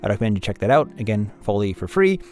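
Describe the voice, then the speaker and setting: Guy hosting an audio drama show, animated delivery, music behind him, kinda low voice. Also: fast talker. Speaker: host and audio drama producer. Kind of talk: scripted credits and podcast announcement.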